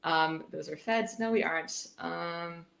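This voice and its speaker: A woman's voice: on the low side, measured pace, creaky, a bit bright. A woman hosting a casual livestream, reading aloud and reacting in a blunt, exasperated, sweary way. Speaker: livestream host. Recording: roomy, echoey sound.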